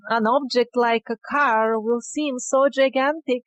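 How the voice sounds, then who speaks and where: A woman's voice: on the high side, steady tone, slow. A woman answering questions as an interview guest, conversational and sometimes searching for words.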